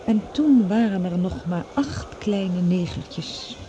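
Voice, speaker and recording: A woman's voice: mid-range voice, animated, slow pace, dark tone, lo-fi sound, a little hiss or hum. A woman playing a cool, self-possessed character in a radio play, guarded but civil. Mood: dry, conciliatory. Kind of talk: Dutch radio play acting.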